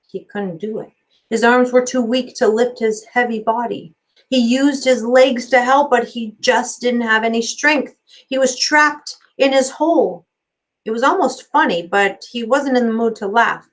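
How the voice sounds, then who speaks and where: A woman, a teacher, reading a children's novel aloud in an expressive storytelling style. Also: fairly high pitch, poor audio.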